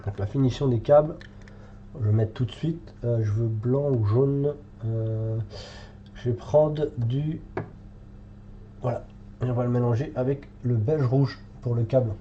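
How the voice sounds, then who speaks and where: A man's voice: medium pitch, steady tone, a little slow, long pauses, lo-fi sound, slight background noise. A French man with a cold, thinking aloud with many fillers as he paints live in a hobby tutorial. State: has a cold, congested.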